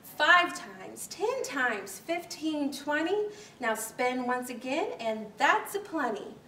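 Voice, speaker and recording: A woman voicing a bossy, jolly storybook crow, calling a barn dance in loud rhythm. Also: high-pitched, big pitch swings, takes it slowly, exaggerated voice. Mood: jolly, commanding. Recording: echoey room, distant mic, faint hiss.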